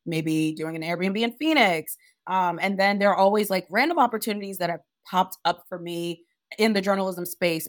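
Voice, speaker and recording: Raspy, deep-voiced woman in her thirties. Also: dry recording, clean studio sound. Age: 30s. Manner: loud, animated storyteller who laughs often. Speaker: guest. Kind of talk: casual interview.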